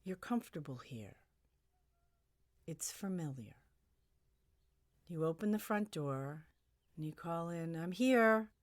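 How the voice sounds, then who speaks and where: A low-voiced woman narrates a guided meditation in a close, dry recording. She offers soothing, gentle suggestions at a very unhurried pace, leaving long pauses between phrases. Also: lively intonation, dry recording.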